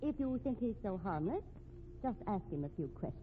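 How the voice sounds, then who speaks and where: An actress playing a cool, unflappable widow under suspicion in a 1950s radio mystery, arch, haughty and drily mocking. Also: female voice, medium pitch, very animated, fairly quick, lightly acted. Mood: sly, insinuating. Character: cool, sardonic, unflappable widow, a murder suspect. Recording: noisy, tinny old radio recording.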